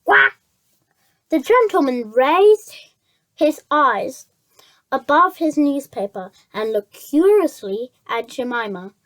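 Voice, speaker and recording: A high-voiced reader reading a children's story aloud, stumbling over words and repeating phrases. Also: expressive, slow, fairly bright tone, low-quality recording.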